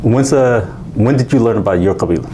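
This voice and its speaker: A man, co-hosting a casual chat, pushing along with quick questions and short "okay"s. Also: mid-range voice, noisy background. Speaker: podcast co-host. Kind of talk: casual interviewing.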